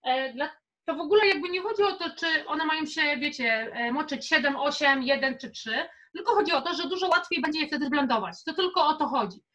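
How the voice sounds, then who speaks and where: A young woman speaks in a very high, bright voice. She is informal and chatty as she instructs a live cooking class from her home kitchen, encouraging people along as she goes. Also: brisk. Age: young adult. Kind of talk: casual live cooking workshop.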